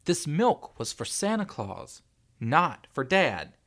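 Man acting out a loud, high, stubborn spoiled boy. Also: very animated, full character voice. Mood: stubborn, angry. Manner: insistent, drawn-out emphatic shouting. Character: stubborn, spoiled young boy in a vengeful tantrum.

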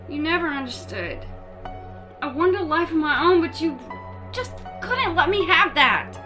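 An actress playing a young woman devoted to her controlling father, speaking in a soft, measured, quietly menacing way. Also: high-pitched. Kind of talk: audio drama acting.

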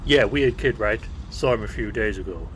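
A man's voice: fairly low pitch, moderate pace, fairly bright tone, slight background noise, close to an everyday voice, poor audio. A man playing an indifferent, sarcastic neighbour in an audio drama, answering curtly and dismissively. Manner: curt, dismissive.

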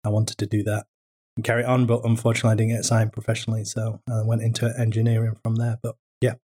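A man chats as a podcast guest with dry, understated humor. His voice is low and quite flat in pitch. He talks in a relaxed, casual way. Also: fast talker, slightly rough. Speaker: guest, business co-owner. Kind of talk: casual interview chat.

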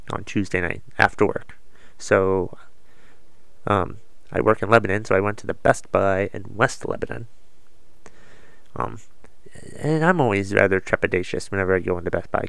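Gravelly, congested man rambling on a podcast. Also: fairly low pitch, a bit bright, dry recording. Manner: rambling, self-deprecating, swears casually. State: has a cold, slightly congested. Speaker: podcast host. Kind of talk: casual solo podcast monologue.

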